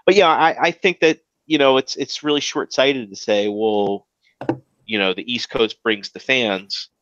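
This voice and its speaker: A man's voice: mid-range voice, unhurried, fairly bright tone, no room sound, muffled recording. A man with a Maryland accent co-hosting a podcast, rambling casually with lots of fillers and restarts. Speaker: podcast co-host. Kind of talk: casual chat.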